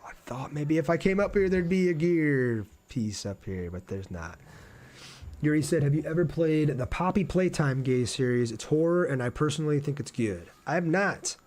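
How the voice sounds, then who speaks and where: A man's voice: fairly high pitch, lively intonation, normal speed, darkish tone. A man chatting casually and informally on a game livestream, reading out viewer comments and joking at his own expense.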